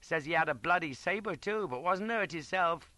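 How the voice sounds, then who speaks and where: High piping English trooper. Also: male voice, lively intonation, moderate pace, bright, forceful tone, dry recording. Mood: proud, eager. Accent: working-class English. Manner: piping, gossipy. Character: chirpy, proud wounded English cavalry trooper.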